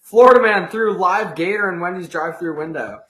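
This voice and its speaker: A giggly young man co-hosting a goofy podcast, often breaking into laughter mid-line. His voice is notably high for a man. The sound is roomy and echoey. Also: a little fast, a bit bright. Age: young adult. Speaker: podcast co-host. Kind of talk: casual joking chat. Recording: echoey room.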